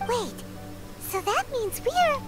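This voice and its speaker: A woman voicing a tiny, childlike, chirpy companion creature in an anime-style video game, with a squeaky voice. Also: sing-song, takes it slowly, full character voice. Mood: curious. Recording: loud game music behind the voice.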